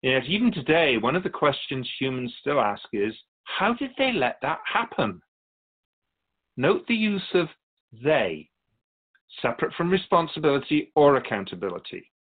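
Man playing an animated, wry soul keeper. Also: medium pitch, narrow, tinny audio. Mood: grave, earnest. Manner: measured, philosophical, gently challenging. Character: Keeper of Souls, wise otherworldly storyteller, courteous and wry. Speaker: interviewee in a radio drama. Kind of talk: radio drama dialogue, storytelling.

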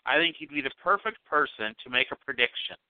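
Teasing man with a lively voice over a thin line. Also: mid-range voice, fairly quick, clear, resonant tone, dry recording. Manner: dry, teasing humor. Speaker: podcast host. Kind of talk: casual phone-in chat. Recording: dry tinny phone-like sound.

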